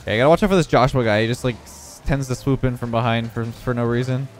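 A young man talks through his match as he plays, his voice a bit stuffed up and congested. His delivery is relaxed and conversational, at a middle pitch, with faint game music underneath. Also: quick pace. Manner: relaxed running commentary on his own play. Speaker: gaming video creator. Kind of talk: live gameplay commentary.

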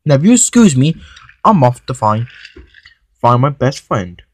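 A man voicing an indignant, fiercely loyal steam engine in a children's story, protesting forcefully with some stammering. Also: medium pitch, big pitch swings, a little slow, dry recording.

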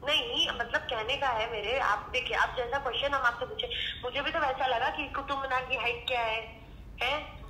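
A woman's voice: sits high, normal speed, pushed and bright. A woman with a blunt, exasperated, argumentative manner, talking casually on a phone call. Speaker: prank call recipient. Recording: phone on speaker, echoey room, faint noise.

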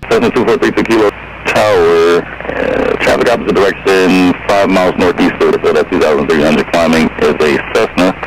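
Low-voiced male controller on radio. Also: fairly even intonation, moderate pace. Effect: aviation radio. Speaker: air traffic controller. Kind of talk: radio instructions to pilots. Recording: noisy, crackly air traffic radio.

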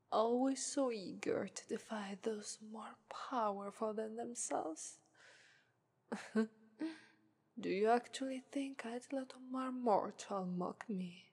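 A woman's voice: mid-range voice, a little mellow, husky, full character voice. A woman voicing a confident, haughty female demon of lust in an ASMR roleplay, whispering and teasing with an Italian accent. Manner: whispery, teasing, purring "ara ara".

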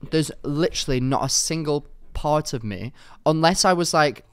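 A young British man with a fairly high voice chats casually on a podcast. His pitch swings widely as he talks in an expressive, exaggerating way. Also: moderate pace, clean tone. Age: 20s. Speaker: podcast co-host. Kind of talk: casual chat. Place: small office-style studio, indoors. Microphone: close podcast microphone on stand.

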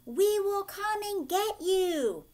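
Storybook townsfolk played by a woman call out urgently. The voice is raised high and loud, with swooping, drawn-out words. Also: animated, heavily acted. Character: crowd of anxious, urgent townsfolk calling out.

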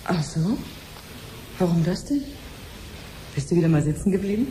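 Woman acting wry lady doctor, low warm tone, precise, gently mocking, old radio play, slight noise. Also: animated, normal speed, lightly acted. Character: shrewd, dry aunt who is a clinic doctor. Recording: faintly noisy 1970s radio recording.

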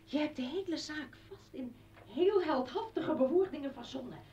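An actress playing a hurt, scornful ex-wife in a radio play, sarcastic and accusing. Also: female voice, high voice, lively intonation, normal speed, warm tone, slight background noise. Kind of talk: Dutch radio play acting. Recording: dull, distant old radio recording.

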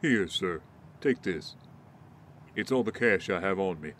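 A man's voice: low-pitched, animated, measured pace, fairly bright tone, a little hiss or hum. A man playing a principled, guarded ex-Marine in an audio drama. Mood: kind, earnest. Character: principled male ex-Marine, guarded, righteously indignant.

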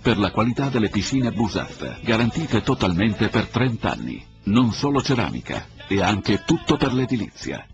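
A man's voice: fairly low pitch, very animated, moderate pace. A man reading a radio commercial in a punchy, sing-song ad style. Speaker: ad announcer. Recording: dull radio broadcast with music.

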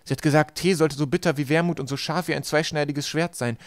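A man reads as a bookish boy in a storybook, anxious and urgent. His voice is pitched up and strained, recorded close and dry. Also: fairly even intonation, fast, dry recording, lightly acted. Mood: pondering, urgent. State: rough, hoarse from poison.

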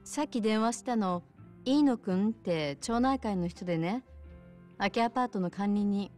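Actress as kind bar hostess, medium pitch, soft-spoken, polite, game cutscene, faint music behind. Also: female voice, animated, normal speed, close to an everyday voice. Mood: helpful, friendly. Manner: polite, considerate, gently casual. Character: kind, soft-spoken adult woman, small bar owner. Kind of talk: video game cutscene acting.

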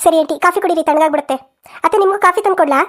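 Woman acting very high, polite young daughter-in-law. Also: rapid-fire, fairly bright tone. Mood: eager, polite. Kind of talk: cartoon family drama dialogue.

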